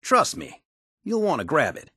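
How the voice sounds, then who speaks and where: A man's voice: on the high side, wildly swooping pitch, normal speed, bright, forceful tone, husky, no echo, clean studio sound, heavily acted. A man playing a down-to-earth, folksy and modest handyman in a wasteland video game, with easygoing, colloquial phrasing. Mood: wry, assured. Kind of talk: video game character dialogue.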